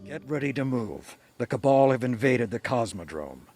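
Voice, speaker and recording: An actor playing a grave, commanding male military leader, giving a measured, authoritative mission briefing in a video game. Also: medium pitch, sing-song, darkish tone, soft background music, natural voice. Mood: urgent, commanding. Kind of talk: dramatic scripted mission briefing.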